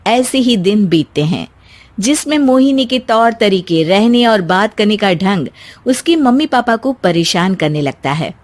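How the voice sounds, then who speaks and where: A woman's voice: mid-range voice, animated, measured pace. A woman narrating a scripted moral story as a storyteller.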